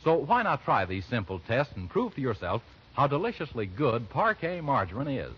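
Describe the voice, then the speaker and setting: Animated, husky 1940s radio announcer man. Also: medium pitch, normal speed. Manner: emphatic, persuasive. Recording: muffled 1940s radio broadcast.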